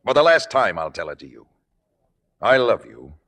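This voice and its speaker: An actor playing an exasperated, plainspoken boyfriend with a firm, earnest manner in vintage radio drama style. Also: male voice, medium pitch, very animated, moderate pace, a bit bright. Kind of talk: 1950s radio drama acting. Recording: old radio broadcast in reverberant hall.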